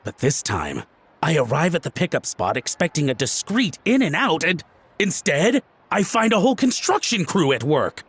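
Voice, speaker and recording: A man's voice: on the high side, sing-song, moderate pace, a bit bright, slightly rough, full character voice. An actor playing a nervous, fawning male antique collector in a video game cutscene, pleading and stammering. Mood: confessional.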